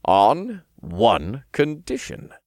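Man playing a smug, formal archangel, lively intonation. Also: mid-range voice, no room sound, studio quality, voice pushed up, heavily acted. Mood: grudging. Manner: formal, measured, condescending. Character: smug, stern male archangel, formal negotiator.

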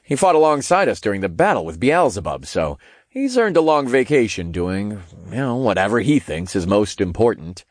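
A man's voice: fairly low pitch, sing-song, normal speed, no room sound, studio quality. A man voicing an easygoing, loyal young king in an audiobook, speaking casually and frankly. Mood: earnest.

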